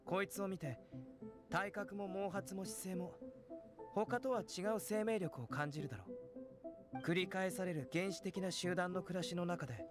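A man acts an aloof young alchemist in an anime game. His voice is high for a male and stays calm, explaining things with intellectual detachment. Music plays clearly behind him. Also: moderate pace, lightly acted. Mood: detached, thoughtful. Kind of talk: Japanese anime game voice acting.

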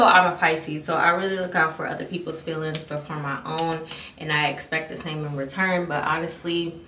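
A woman's voice: on the low side, a bit bright. A young woman in her twenties talking candidly to the camera as a beauty vlogger, rambling and restarting her sentences. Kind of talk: casual chat to camera. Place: bathroom at home, indoors. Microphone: camera mic at a distance. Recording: echoey bathroom, distant mic, background noise.

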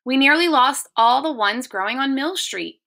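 A woman's voice: high voice, lively intonation, moderate pace, gravelly, sharp tone, voice pushed up, exaggerated voice. A woman voicing a concerned, practical mum in a children's storybook, with emphatic phrasing.

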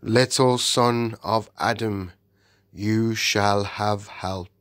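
A man reading aloud and voicing a majestic male lion king with a slow, grand, solemn delivery. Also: on the low side, steady tone, a bit bright, no echo, clean studio sound. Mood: gentle.

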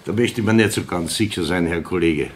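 An actor playing a senior police councillor with a Viennese accent, brisk, dry and authoritative, in a radio crime drama. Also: male voice, deep voice, slight background noise, lightly acted. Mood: businesslike.

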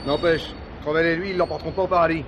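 An actor playing a bitter, cocky young ex-convict with a slangy, defiant manner, in a French radio drama. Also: male voice, fairly high pitch, steady tone, racing, close to an everyday voice. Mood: vengeful. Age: young adult. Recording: busy market crowd and traffic behind.

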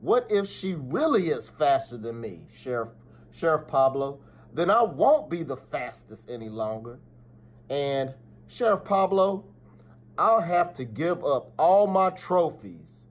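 A man's voice: a little high, sing-song, measured pace. A man voicing a boastful but anxious Wild West sheriff from a storybook, with emphatic folksy delivery.

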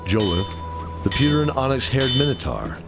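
A man's voice: medium pitch, huge pitch swings, normal speed, over loud music. A man reading scripted dramatic narration as the narrator of a fantasy story.

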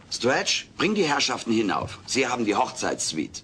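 Brash furry alien joker, lively swooping intonation, theatrical and mock-grand, sitcom audio drama. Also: male voice, medium pitch, measured pace, a little hiss or hum, exaggerated voice. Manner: theatrical, pompous, cheeky delivery. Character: brash wisecracking furry male alien playing hotel host. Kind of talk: dubbed sitcom audio play acting.

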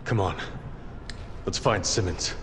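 Earnest action hero, echoing. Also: male voice, sits low, takes it slowly, noisy background. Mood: firm, calm. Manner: earnest, terse. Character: resolute, steady male government agent, action hero. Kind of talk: video game cutscene acting.

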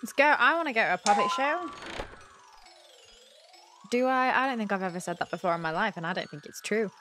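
Young woman, medium pitch, casual game commentary, puzzled thinking aloud, close mic, faint background music. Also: brisk, sharp tone. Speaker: gaming video host. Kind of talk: casual let's play commentary. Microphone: close desk mic with headset on.

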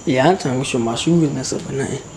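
A young man vlogging with a handheld camera as he explores a dark abandoned building at night, talking in loose slang and dropping to whispers. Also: mid-range voice, fast. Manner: slangy, excitable, often hushed to a whisper. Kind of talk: casual handheld vlog. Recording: noisy camera audio.

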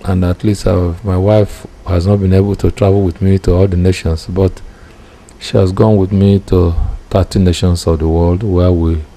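A middle-aged Nigerian man with a Nigerian accent, a preacher giving personal testimony deliberately, phrase by phrase. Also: low-pitched, level tone, warm tone. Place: indoor room with curtains. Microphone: microphone close in front. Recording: clear background noise.

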